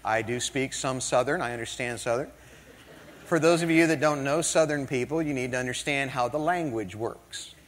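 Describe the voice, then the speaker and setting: A man's voice: mid-range voice, moderate pace, a little hiss or hum. Man telling funny stories in a hall. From northern United States. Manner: humorous, animated storytelling with jokes. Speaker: motivational speaker. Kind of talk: storytelling keynote talk. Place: large hall before an audience. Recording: hall reverb.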